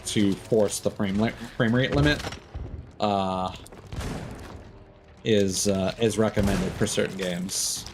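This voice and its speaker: A man live streaming a game, thinking aloud in casual, halting, muttering talk with some swearing. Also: on the low side, noisy background.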